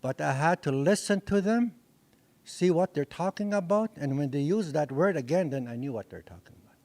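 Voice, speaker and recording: A man's voice: on the high side, expressive, moderate pace, a little mellow. An older man whose first language is Dakota, teaching a language class with a handheld mic in an indoor meeting room, speaking earnestly with frequent restarts and repetitions. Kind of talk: classroom lecture.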